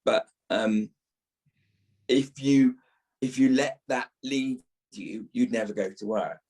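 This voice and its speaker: A man's voice: medium pitch, slow pace, a little mellow. A middle-aged or older British man, an actor being interviewed, talking reflectively and repeating phrases as he thinks.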